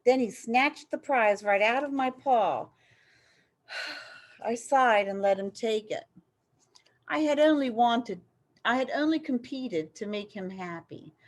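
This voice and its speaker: A woman with a somewhat high voice reads a children's story aloud. Her delivery is expressive and theatrical, leaning into key phrases and acting out the dialogue. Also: slow pace, pauses often. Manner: animated, acts out dialogue with dramatic emphasis. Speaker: storybook reader.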